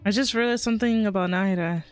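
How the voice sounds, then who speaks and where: A woman's voice: mid-range voice, fast talker, smooth. A woman streamer giving casual, scattered live reactions to a game, often in short muttered bits. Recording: game music behind the voice.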